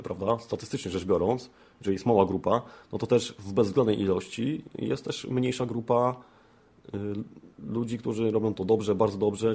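A man's voice: on the low side, dark tone, slightly rough. A man from Poland hosting a podcast in an informal opinion monologue, phrasing hesitantly with frequent rhetorical tag questions. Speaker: podcast host.

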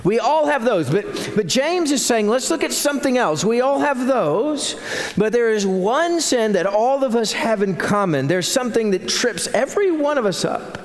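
A man's voice: very high voice, animated, normal speed. A man with a Texan accent, a pastor preaching a sermon with growing earnestness. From United States. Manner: earnest preaching, rising in intensity. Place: church sanctuary. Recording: echoey church hall.

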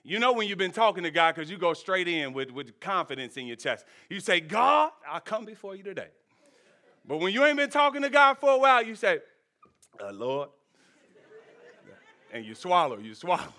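A man's voice: high-pitched, very animated, normal speed, sharp tone. A man preaching a sermon as pastor, folksy and joking, with laughter and asides to the congregation in a church sanctuary.

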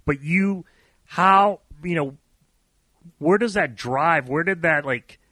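A man's voice: a little high, slow, pushed and bright, dry recording. A man hosting a podcast, asking loose, casual questions with some swearing and stumbling repeats. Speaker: podcast host.

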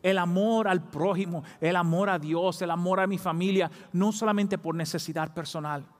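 A man's voice: high voice, fairly even intonation, moderate pace, big-room sound. A man preaching a sermon as pastor, fervent, rising to emphatic peaks. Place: church.

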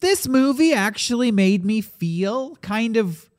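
A man's voice: high voice, expressive, slow pace. A man co-hosting a casual movie podcast, rambling and interrupting himself in a playful way. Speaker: podcast co-host.

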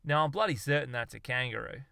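Man putting on laconic Australian everyman, bright clear tone, dry close sound. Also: medium pitch, fast, no echo. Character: laconic Australian bloke, puzzled visitor.

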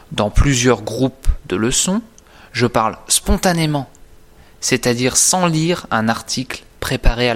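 Slow, deliberate male teacher. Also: medium pitch. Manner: deliberate, carefully articulated, didactic. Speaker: French teacher presenting own course. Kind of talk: scripted instructional narration.

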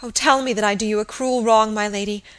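A woman's voice: mid-range voice, fairly even intonation, quick pace, fairly bright tone, lightly acted. A woman voicing a hysterical young servant woman in an audiobook drama, sobbing, gasping and pleading in terror. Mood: anguished, accusing.

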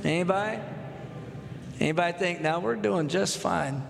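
High-voiced Texan pastor preaching. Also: male voice, normal speed, vocal fry. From North Texas. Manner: earnest, builds up with repeated phrases, asks the congregation questions. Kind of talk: sermon. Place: church sanctuary. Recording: echoey hall with long reverb.

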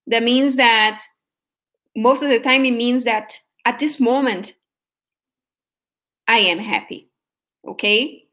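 A woman's voice: fairly high pitch, fairly bright tone. A woman from Brazil with a Brazilian Portuguese accent, giving a patient sample Portuguese lesson and checking in with her students often. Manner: patient, explains slowly, checks in with "okay?". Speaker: Portuguese teacher.

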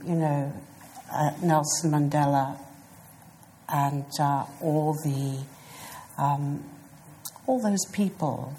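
Low, slow-spoken woman in her fifties. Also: warm tone, a little hiss or hum. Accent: British English. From South Africa. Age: 50s. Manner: hesitant, thoughtful, many pauses and fillers. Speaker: author being interviewed. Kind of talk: reflective interview answers.

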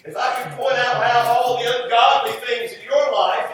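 An American man delivers a fervent sermon in a high voice. He leans hard on key phrases and repeats them for emphasis. The sound is very reverberant and picked up from a distance. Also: steady tone, fairly bright tone. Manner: emphatic, repeats phrases for effect, drawn-out stresses. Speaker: preacher. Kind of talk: fervent sermon with scripture reading. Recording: roomy echo, distant mic, faint noise.

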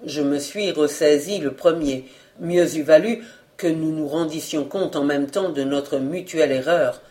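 A woman reader voicing a sincere, kindly young male journalist in an audiobook, speaking in a measured, formal way. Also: sits low, darkish tone, pitched below the performer's own voice. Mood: regretful.

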